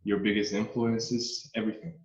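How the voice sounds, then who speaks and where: A man's voice: on the low side, steady tone, a little mellow, echoey room, lo-fi sound. A man from Brazil with a Brazilian Portuguese accent, hosting an interview in English and stopping often to find his words. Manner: halting, searching for words. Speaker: interviewer, channel host. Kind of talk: casual interview.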